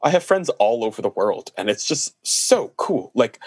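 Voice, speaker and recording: A man's voice: medium pitch, very animated, normal speed, husky, dry recording. A young Canadian man co-hosting a podcast, chatting eagerly and swearing casually. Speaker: podcast co-host. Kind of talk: casual chat.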